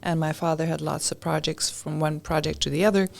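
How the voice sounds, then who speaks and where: A woman's voice: sits low, steady tone, fairly quick, gravelly, darkish tone. A woman in her late sixties with an American accent, recounting her life as a casual podcast guest and repeating short phrases. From Europe. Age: late 60s. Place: podcast studio.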